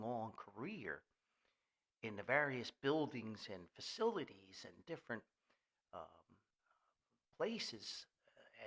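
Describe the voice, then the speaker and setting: A man's voice: medium pitch, a bit bright. An older man hosting a casual, rambling podcast in a folksy, jokey manner. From Paterson, New Jersey, US. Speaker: podcast host.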